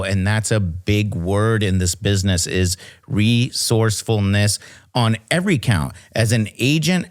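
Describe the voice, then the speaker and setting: Slow-talking low-voiced male co-host. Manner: rambling, restarts sentences. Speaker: podcast co-host. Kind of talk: casual podcast chat.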